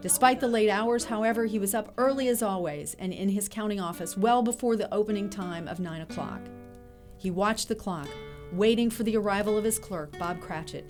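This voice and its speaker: A woman's voice: mid-range voice, brisk, darkish tone. A woman narrating an audio drama in a scripted storytelling style. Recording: music playing behind the voice.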